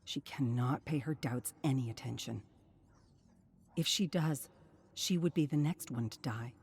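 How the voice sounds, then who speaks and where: Slow, low-voiced woman narrating solemnly. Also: darkish tone, breathy edge. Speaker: narrator of game lore. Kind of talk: scripted dramatic story narration.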